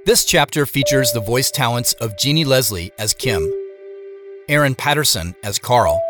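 A man reading scripted first-person narration and credits for an audio drama. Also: mid-range voice.

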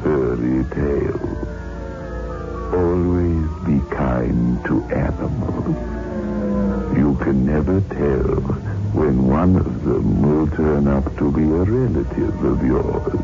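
A sinister, darkly amused horror host with an extremely low voice and a very slow, droll delivery. He sounds like 1960s radio, thin and narrow-band, with loud music behind him. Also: creaky, full character voice. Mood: macabre amusement. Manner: slow, droll, mock-moralizing. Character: sinister, gleefully macabre horror-show host.

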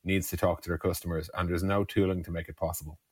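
A low-voiced Irish man talks quickly in a casual, conversational way. He tells founder stories with frequent digressions, leaning on "like" and "you know". The sound is dry and close, with little room. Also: flat delivery, slightly rough, no echo. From Ireland. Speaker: startup co-founder being interviewed.

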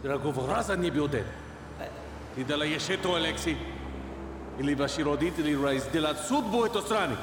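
An actor playing a menacing villain in an audio drama, forceful and threatening. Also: male voice, fairly high pitch, expressive, fairly quick, long pauses, noisy background, natural voice. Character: menacing Russian male villain making angry threats. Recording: echoey reverberant space, loud vehicle ambience.

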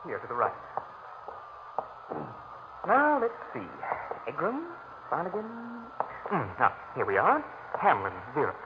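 Fussy, swooping-voiced morgue attendant. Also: male voice, a little high, huge pitch swings, unhurried, long pauses. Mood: fussy, obliging. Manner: obliging, prim, muttering to himself. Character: fussy, over-polite, cheerful male morgue attendant. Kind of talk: 1940s radio character acting. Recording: muffled old radio recording, loud noise.

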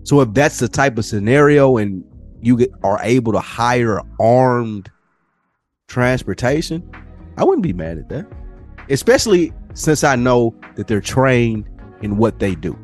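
A man's voice: a little slow, soft background music. Laid-back man telling a story. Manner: animated storyteller, mimics people in higher voices. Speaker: podcast co-host. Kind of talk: casual chat.

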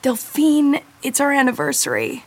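A woman's voice: high voice, wildly swooping pitch, normal speed, voice pushed up, exaggerated voice. A woman voicing an anxious, blunt woman scientist and wife in an audiobook, talking casually. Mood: flustered, guilty. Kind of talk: audiobook character dialogue.

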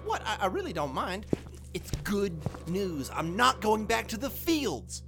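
An actor playing Neil, an eager young worker who stammers and gets excited in an audio drama. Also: male voice, sits high, a little slow, gravelly, music in the background, heavily acted. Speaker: field worker.

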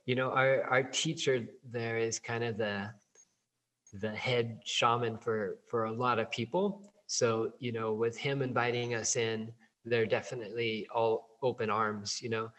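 A man who works as a plant medicine provider, answering interview questions in a relaxed way, full of ums and you-knows. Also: medium pitch, measured pace. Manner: relaxed, many fillers like um and you know. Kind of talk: interview answers over a call.

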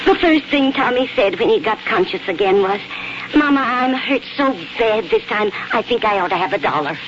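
A woman's voice: fairly high pitch, animated, normal speed, noisy background, lightly acted. Actress as wistful old mother, vintage radio. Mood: matter-of-fact. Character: wistful, devoted elderly mother of grown children. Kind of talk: 1940s radio drama acting. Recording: muffled 1940s radio broadcast with music.

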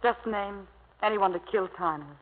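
A woman's voice: mid-range voice, normal speed, lightly acted. Actress as intense lovelorn woman, tinny old radio. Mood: wry, yearning. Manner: intense, pointed, dramatic. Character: lovelorn, embittered woman pleading with her hardened lover. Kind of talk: 1950s radio melodrama acting.

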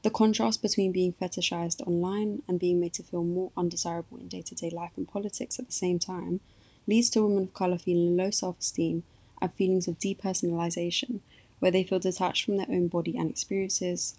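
A woman co-presenting a podcast, reading scripted narration in a formal, measured way. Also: on the low side, little pitch movement, warm tone, slightly rough.

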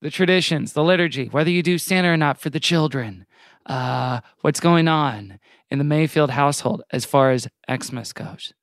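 A man's voice: a little high, normal speed, no room sound, studio quality. A man hosting a podcast in casual comedic chat, with a jokey, mock-earnest way of talking.

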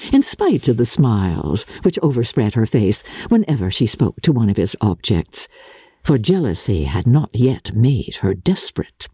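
A woman with a husky voice giving a measured, formal and expressive dramatic reading of classic literature as an audiobook narrator. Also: rumbling low, dry recording, clean studio sound.

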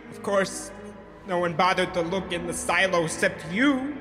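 An actor playing a cocky, scheming secret lover in an audio drama, with folksy, old-timey talk. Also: male voice, sits high, moderate pace, lightly acted. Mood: matter-of-fact. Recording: clear music behind the voice.

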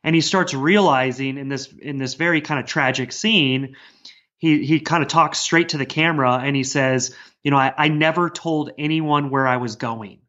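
A man's voice: medium pitch, normal speed, pushed and bright. A man speaking earnestly and discursively as a guest author in a casual podcast conversation. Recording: dull, lo-fi sound.

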